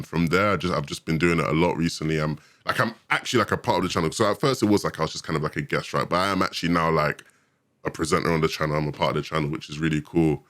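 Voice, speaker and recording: Young guy, twenties, deep low voice, brisk excitable storyteller, dry close mic. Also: no room sound. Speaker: podcast guest. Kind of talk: casual storytelling chat.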